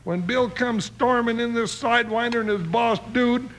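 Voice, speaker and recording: An actor playing a big, folksy, blustering American deputy in an old-time radio western, with grumbling backwoods talk. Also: male voice, very high voice, normal speed, a bit bright. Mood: indignant. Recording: noisy old radio broadcast recording.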